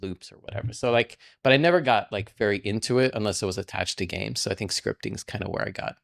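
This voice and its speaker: A man in his forties who grew up in Russia talks casually as a podcast guest, rambling from thought to thought. His voice sits fairly low and carries a strong gravelly fry. He leans on "like" constantly as he tells his story. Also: fast talker. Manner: rambling, fills speech with frequent "like". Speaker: podcast guest, game programmer. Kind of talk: casual interview chat.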